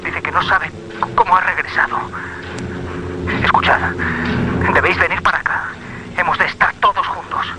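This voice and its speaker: A man's voice: sits high, fairly even intonation, normal speed, long pauses, close to an everyday voice. An actor playing a shaken, agitated male witness to horror in a radio drama. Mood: shaken, urgent. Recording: very noisy radio drama recording.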